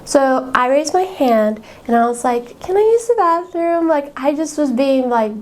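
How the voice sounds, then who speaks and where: A young woman hosting a podcast, telling personal stories in a candid, casual way. She has a high-pitched voice, fills her speech with "like" and "um", and laughs between her thoughts. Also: animated, unhurried. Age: young adult. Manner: candid and chatty, fillers like "like" and "um", laughs often. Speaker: podcast host.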